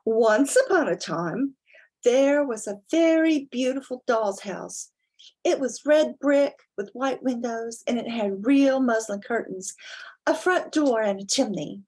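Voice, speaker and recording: High-voiced woman reading a storybook. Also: animated, measured pace, darkish tone. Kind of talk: expressive dramatic storybook reading with casual asides.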